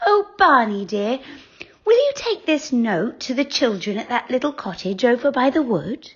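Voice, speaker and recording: A woman voicing a sweet, gentle fairy girl who coaxes kindly. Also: very high voice, wildly swooping pitch, unhurried, dull audio, pitched above the performer's own voice, heavily acted. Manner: sweet, coaxing.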